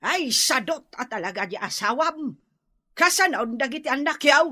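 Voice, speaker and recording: A woman's voice: high voice, lively intonation, moderate pace, sharp tone. A woman with an Ilocano accent playing a meddling, reproachful older mother in a radio drama. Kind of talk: Filipino radio drama acting.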